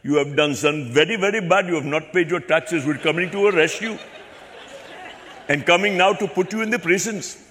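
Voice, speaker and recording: A man's voice: high voice, moderate pace, fairly bright tone, pitched above the performer's own voice. A man putting on a pushy male phone scammer who demands tax money with threats. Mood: mock threatening. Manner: threatening, broken phrasing. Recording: echoey hall with long reverb.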